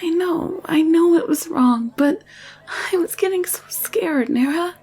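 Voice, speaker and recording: A woman playing an anxious, secretive young alien nurse in an intimate audio drama, confiding in a hushed, hesitant way. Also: extremely high pitch, a little slow. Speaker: voice actor in audio roleplay.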